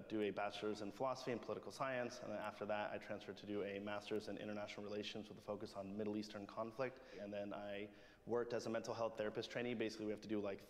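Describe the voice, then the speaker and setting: A man in his twenties talking casually about himself in a group conversation. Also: fairly low pitch. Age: 20s. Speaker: content creator taking part in a group discussion. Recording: long room reverb, faint noise.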